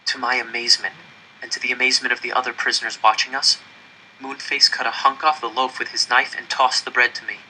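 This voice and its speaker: A man's voice: mid-range voice, level tone, fast talker, sharp tone, a little hiss or hum, rough recording. A man reading a first-person story aloud in an earnest, suspenseful narrating manner. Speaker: audiobook-style reader.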